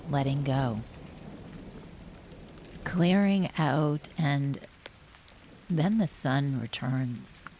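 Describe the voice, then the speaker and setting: A woman guiding a relaxation meditation in a gentle, soothing manner, speaking in short phrases with long pauses. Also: very deep voice. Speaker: yoga nidra guide. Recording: steady rain noise behind the voice. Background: rain ambience.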